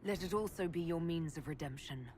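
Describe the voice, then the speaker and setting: An actress playing an authoritative female leader in a video game, speaking calmly and in a measured way. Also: fairly low pitch, a little hiss or hum, close to an everyday voice. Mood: stern. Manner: calm, measured.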